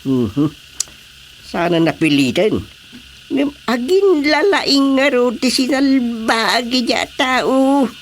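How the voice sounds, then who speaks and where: A man's voice: very high-pitched, big pitch swings, slow, slight background noise, heavily acted. A man playing an exasperated village chairman in a radio comedy drama, scolding with an Ilocano Filipino accent. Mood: questioning.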